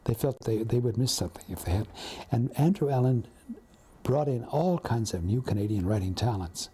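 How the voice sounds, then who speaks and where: A man looking back reflectively in an interview, with a strongly husky voice. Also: medium pitch, lively intonation, moderate pace, mellow. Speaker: interviewee recalling radio drama history. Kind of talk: reflective reminiscence.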